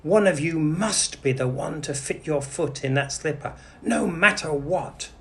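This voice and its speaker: A man voicing a bossy, cruel stepmother in a storybook, sharp and scornful. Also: on the high side, measured pace, voice pushed up. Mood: urgent bossy. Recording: clearly noisy background.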